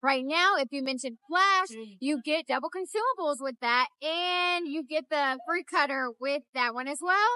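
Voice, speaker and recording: A woman in her thirties hosting a vlog at a trade expo, giving an upbeat product demo pitch and repeating phrases for emphasis, filmed on a phone at arm's length. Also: normal speed, few pauses, pushed and bright, no room sound, clean studio sound. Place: busy indoor trade expo floor. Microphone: phone held at arm's length.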